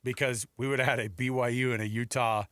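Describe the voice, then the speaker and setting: A man's voice: medium pitch, fairly even intonation, fast talker, fairly bright tone, clean tone, no room sound, studio quality. An American man hosting a sports talk radio show in a casual, conversational way.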